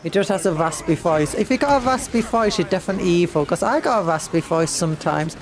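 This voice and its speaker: A British woman streaming a game, chatting playfully and excitably. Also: on the low side, fast. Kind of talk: casual gameplay commentary.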